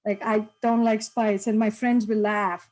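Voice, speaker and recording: A woman's voice: mid-range voice, level tone, a little slow, a little mellow. A woman from India leading an online workshop, talking to participants in an encouraging way and laughing often over a video call. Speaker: workshop presenter.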